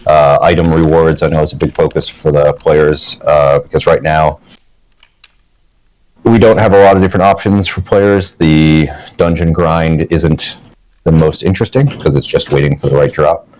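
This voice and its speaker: A man with an extremely low, deep voice answering panel questions as a game designer. He speaks in a relaxed, thoughtful way, pausing with fillers as he works through his points. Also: poor audio. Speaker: lead systems designer.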